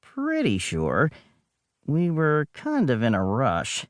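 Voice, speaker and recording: A man narrates a children's adventure in a highly animated, swooping voice that sits fairly high for a male. He takes his time and drops in wry asides like a boy telling his own story. The sound is dry and close. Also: slow pace, no echo. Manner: dramatic, wry conversational asides. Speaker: audiobook narrator.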